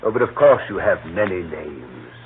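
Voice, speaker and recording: A male actor playing a suave elderly villain in a 1930s radio drama, formal, measured and mockingly polite. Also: deep voice, big pitch swings. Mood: silky menace. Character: elderly gentleman villain, suave, coolly menacing, unhurried. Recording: muffled, hissy 1930s radio broadcast.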